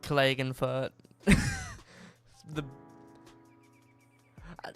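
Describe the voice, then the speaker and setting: A man's voice: mid-range voice, level tone, extremely slow, a bit bright, clear, resonant tone, faint music behind. A man with an Australian accent chatting casually and rambling on a live game stream, often trailing off and restarting. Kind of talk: casual live-stream chat while driving.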